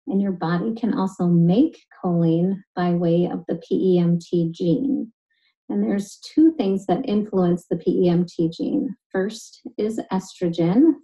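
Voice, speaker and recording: A woman nutritionist explaining a health topic in a clear, steady, scripted way. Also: on the low side, rough recording. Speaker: nutritionist and genetic specialist.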